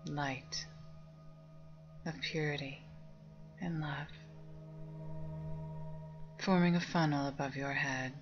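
A woman leading a guided meditation with soft, breathy, near-whispered, calming instructions. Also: low-pitched, slow, long pauses. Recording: dull lo-fi sound under music. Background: meditation music with singing bowls.

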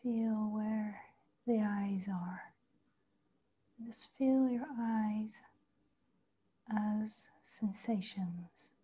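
A woman leading a guided body meditation, speaking slowly and softly, often in a half whisper. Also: medium pitch, husky. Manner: slow, soft, partly whispered guidance. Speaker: meditation teacher. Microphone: video call.

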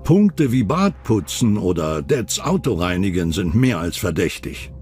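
Lively male true-crime narrator over music. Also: low-pitched, measured pace. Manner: suspenseful, rhetorical questioning. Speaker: documentary narrator. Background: background music.

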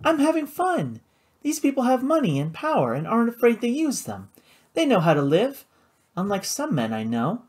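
A woman acts out a young socialite sister in an audiobook. Her voice sits high and swings widely in pitch. She is teasing, theatrical and defiant, with a worldly, taunting edge. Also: huge pitch swings, normal speed, a little mellow, husky, heavily acted. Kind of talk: audiobook character dialogue.

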